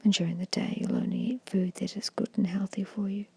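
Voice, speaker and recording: A woman whispers a guided ASMR hypnosis meditation in a breathy, hushed voice, giving gentle, repetitive suggestions. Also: medium pitch, wildly swooping pitch, brisk.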